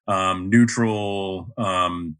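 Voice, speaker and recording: A man in his fifties from North Carolina with a neutral mid-Atlantic American accent, speaking as a podcast guest in a measured way, with frequent ums. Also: low voice, steady tone, a bit bright, clean tone. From North Carolina, USA. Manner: measured, many ums and uhs. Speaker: podcast guest, project manager. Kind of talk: casual interview talk.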